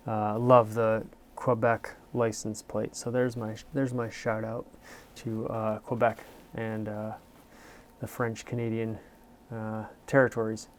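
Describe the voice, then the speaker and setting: A man's voice: on the low side, slight background noise. A man hosting a casual car review show, rambling and self-deprecating with lots of filler words.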